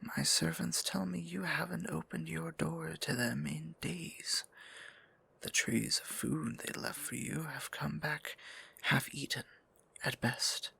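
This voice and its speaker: Man playing a breathy, gravelly dark sorcerer. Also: fairly high pitch, steady tone, heavily acted. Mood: tender concern. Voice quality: breathy, gravelly, often near-whispered. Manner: formal, archaic endearments, slow deliberate phrasing. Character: adult male dark sorcerer, tender, possessive, courtly. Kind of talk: intimate ASMR roleplay acting.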